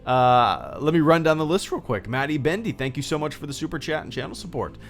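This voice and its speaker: A man's voice: fairly high pitch, animated, brisk, vocal fry. A man in his thirties hosting a casual livestream, rambling with lots of fillers and restarts and reading out thanks to viewers. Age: 30s. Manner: reads out viewer thank-yous. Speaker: livestream host.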